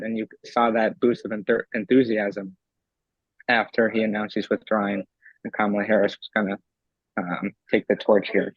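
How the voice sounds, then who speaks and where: A young man from Eastern Pennsylvania, a convention delegate whose voice is raspy from screaming, answering eagerly with many ums in a remote interview. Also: on the low side, no room sound, dull audio. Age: young adult. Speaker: convention delegate and law student.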